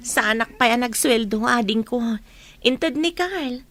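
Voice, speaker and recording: A woman's voice: on the high side, takes it slowly, a crowd in the background. A woman acting a kind, grateful young woman and caring older sister in a radio comedy drama. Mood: tender.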